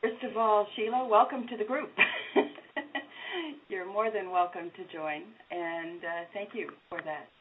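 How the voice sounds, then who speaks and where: A woman introducing herself in a measured, reflective way on an online group call. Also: mid-range voice, a little hiss or hum. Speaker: group participant. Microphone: video call. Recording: tinny call audio.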